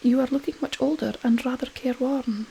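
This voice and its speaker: Soft-spoken sly gentleman devil voiced by a woman. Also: sits high, steady tone, fairly quick, creaky, a little hiss or hum. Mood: smooth, polite. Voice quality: soft, whispery. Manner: polite, pleasant, insinuating. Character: smooth, sly devil posing as gentleman minister. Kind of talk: storybook-style dialect poem reading.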